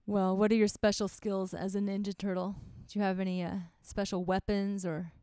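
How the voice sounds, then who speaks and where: A woman's voice: medium pitch, little pitch movement, normal speed, warm tone, clear, resonant tone, no echo. A woman co-hosting a comedy podcast, bantering casually with a teasing, sarcastic manner. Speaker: comic and podcast co-host.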